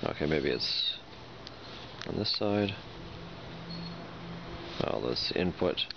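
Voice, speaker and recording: Very deep-voiced man, noisy lo-fi recording. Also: expressive, takes it slowly, gravelly. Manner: hesitant, thinking aloud as he goes. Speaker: product reviewer. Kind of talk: casual unboxing and demonstration talk. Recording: dull lo-fi sound with loud noise.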